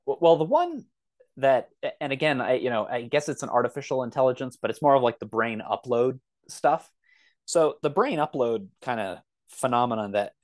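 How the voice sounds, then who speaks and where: Male podcast guest, thirties, mid-pitched, conversational explainer who hedges and backtracks. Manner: rambling, self-correcting, hedging.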